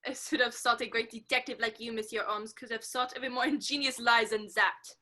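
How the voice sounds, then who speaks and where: A woman's voice: a little high, steady tone, brisk, sharp tone. A woman playing a smug, accusing French police chief in a 1940s radio mystery, formal and sardonic.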